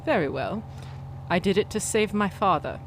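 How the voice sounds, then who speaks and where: An actress playing a cunning, defiant female vigilante in a pulp radio drama, with formal, deliberate, menacing phrasing. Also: mid-range voice, expressive, a little hiss or hum, close to an everyday voice, low-quality recording. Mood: guarded, confessing.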